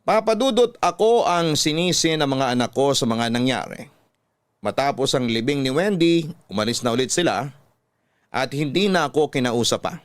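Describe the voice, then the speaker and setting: A man's voice: medium pitch, dry recording. Male Filipino storyteller: mid-range voice, dramatic scripted narration, dry studio-like sound. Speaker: radio drama storyteller, host.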